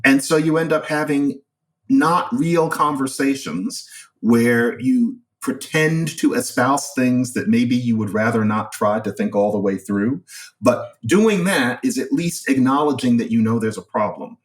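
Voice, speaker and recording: A man in an explanatory discussion, articulate and animated, acting out small examples as he goes. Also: mid-range voice, unhurried, far from the mic. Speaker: expert guest. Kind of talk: explanatory discussion of language and society.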